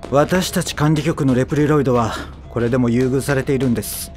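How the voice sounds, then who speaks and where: A man's voice: mid-range voice, quick pace, darkish tone, lightly acted. An actor playing an earnest young male android official who narrates his story in polite, formal speech. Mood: polite, wary. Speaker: narrator and lead character. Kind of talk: Japanese audio drama acting. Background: background music.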